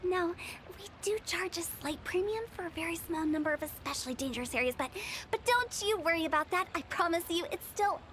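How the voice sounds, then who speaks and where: A woman's voice: very high-pitched, lively intonation, brisk, fairly bright tone, a little hiss or hum. A woman playing an eccentric, mischievous young funeral director in a video game, with a theatrical, teasing, persuasive delivery. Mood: pushy sales pitch. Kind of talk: video game character acting.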